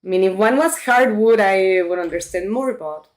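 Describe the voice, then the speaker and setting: A woman's voice: mid-range voice, normal speed. A woman from Mexico, chatting casually with her viewers while playing a farming game. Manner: chatty, talks to her viewers, reacts aloud. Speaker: streamer. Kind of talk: casual gameplay commentary.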